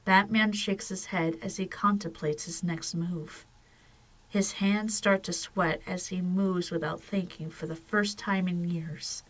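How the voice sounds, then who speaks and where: A woman narrates a dramatic story with a solemn, measured delivery. Her voice sits a little low for a woman, and she reads slowly, as scripted narration for an audio drama. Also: low-quality recording.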